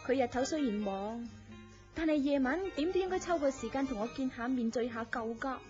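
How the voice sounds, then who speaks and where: High-voiced coquettish young mistress played by an actress. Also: female voice, animated, a little fast, a little mellow. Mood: pouting, resentful. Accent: Hong Kong Cantonese. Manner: coquettish, pouting. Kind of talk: Cantonese radio drama acting. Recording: muffled lo-fi radio drama, music behind.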